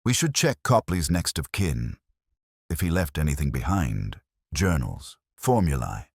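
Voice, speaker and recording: A man voicing an earnest, sharp young police sergeant who talks in a questioning, earnest way, recorded close on a studio mic. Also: extremely low pitch, sing-song, unhurried, breathy edge, dry recording, heavily acted.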